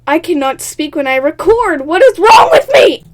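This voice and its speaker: A woman host reading a text game aloud with chatty, excitable commentary, stumbling over words and laughing often. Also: very high-pitched, moderate pace, very noisy. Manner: laughs often, talks at herself. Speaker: gaming channel host.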